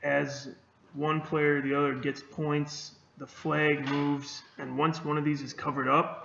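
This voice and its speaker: Male student presenter, technical walkthrough, heavy vocal fry, dull recording with faint noise. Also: medium pitch, fairly bright tone, roomy sound. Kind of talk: technical project demo narration.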